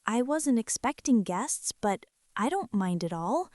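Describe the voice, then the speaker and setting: A woman playing a gentle, nervous mother in a soft-spoken ASMR roleplay, tender, hushed and hesitant as she reassures and fusses. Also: on the high side, big pitch swings, unhurried, no echo, clean studio sound. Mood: soothing. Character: gentle, nervous mother fussing over a hurt boy.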